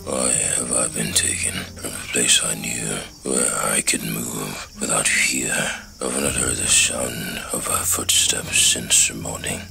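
A blind old chieftain in his sixties in an audio drama, his voice extremely deep and gravelly, his words slow and whispery. He sounds bitter and suspicious of everyone around him, and music plays clearly under him. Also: steady tone, bright, forceful tone, full character voice. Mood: bewildered. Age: 60s. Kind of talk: full-cast audio drama.

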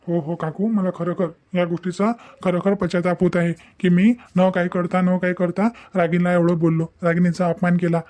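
A man plays an earnest, apologetic young husband in a cartoon family story. His voice sits high yet has a mellow, muted tone, and he pleads over and over. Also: little pitch movement, normal speed, few pauses, lightly acted. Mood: remorseful. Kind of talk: cartoon domestic story dialogue.

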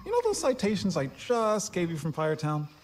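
An actor playing an eager, friendly, emotional young water creature in an animated film, chatty and excitable. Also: male voice, sits high, a little fast, background noise.

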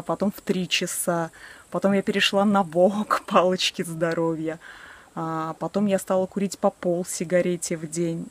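A woman in her early forties talking casually and candidly to a camera on a selfie stick, outdoors in a garden, with a few self-mocking laughs. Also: fairly low pitch. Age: 40s. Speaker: vlogger. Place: outdoors by a wooden shed in a garden. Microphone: selfie stick camera at arm's length.

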